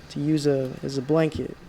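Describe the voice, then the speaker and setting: An actor playing a frightened, exhausted driver in a radio drama, halting and muttering to himself. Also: male voice, a little high, level tone, mellow, slight background noise. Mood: weary, uneasy. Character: frightened, exhausted male driver haunted by a phantom. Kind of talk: radio drama monologue and dialogue.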